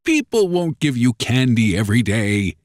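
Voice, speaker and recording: A narrator voicing a friendly, curious magic genie in a children's storybook, with a grand, drawn-out, teasing manner. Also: mid-range voice, very animated, darkish tone, dry recording, clean studio sound, voice pushed down, natural voice. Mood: gently scolding. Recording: clear music bed behind.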